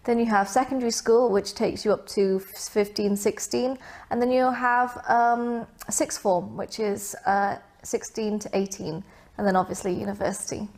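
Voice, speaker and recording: A woman's voice: mid-range voice, steady tone, moderate pace, darkish tone. A young woman from Vietnam, raised abroad, answering interview questions in a chatty, casual way with many fillers and asides.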